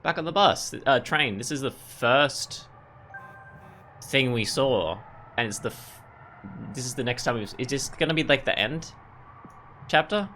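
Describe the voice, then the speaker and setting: A man's voice: medium pitch, expressive, measured pace, long pauses, bright, forceful tone, soft background music. A man giving casual let's play commentary as a gaming video host, musing and thinking aloud as he goes. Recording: clear background noise and ambience.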